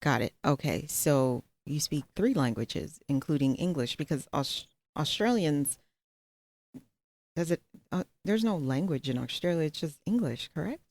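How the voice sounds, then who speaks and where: A woman's voice: sits low, expressive, unhurried, darkish tone, no echo. A woman hosting an interview, asking direct, probing follow-up questions. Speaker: podcast host.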